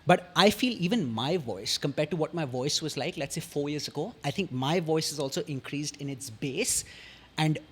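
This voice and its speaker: This young man speaks with an Indian English accent, his voice a little husky and set somewhat high for a man. He explains things articulately and measuredly, leaving pauses between sentences as a podcast guest. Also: expressive, a bit bright. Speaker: podcast guest, communication strategist. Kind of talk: casual explanatory interview talk.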